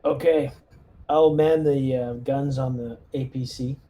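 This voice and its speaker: A man's voice: medium pitch, steady tone, a little slow, lo-fi sound. A man talking casually as a player in a tabletop roleplaying game.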